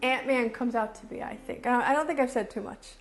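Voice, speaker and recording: A woman's voice: fairly high pitch, brisk, soft background music. A woman reviewing casually and off the cuff as a video host, enthusiastic and emphatic, often repeating phrases to drive them home. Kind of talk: casual unscripted review to camera.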